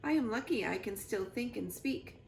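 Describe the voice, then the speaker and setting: A woman reading a storybook, voicing a gentle, protective mother robot with careful, formal wording. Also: on the high side, lively intonation, normal speed, creaky, echoey room, a little hiss or hum. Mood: calm.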